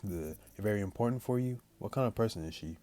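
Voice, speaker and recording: A young man hosting a gaming video, reading visual novel lines aloud in a casual, halting way. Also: fairly low pitch, mellow. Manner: halting, stumbling reader.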